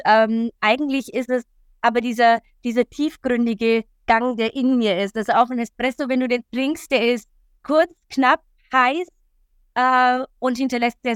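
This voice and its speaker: Smooth-voiced woman from Italy. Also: fairly high pitch, no echo, clean studio sound. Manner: lively, earnest, many fillers. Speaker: coach, guest. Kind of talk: interview talk.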